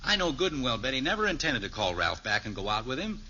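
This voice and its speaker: A fretful, overprotective American dad in a 1950s radio sitcom. His voice is very bright and forceful, swinging widely in pitch with dry exasperation. The old recording is muffled and noisy. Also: big pitch swings, fast. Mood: worried, flustered. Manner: wry, exasperated, hemming and hawing. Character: fretful, overprotective suburban sitcom father, dryly sarcastic.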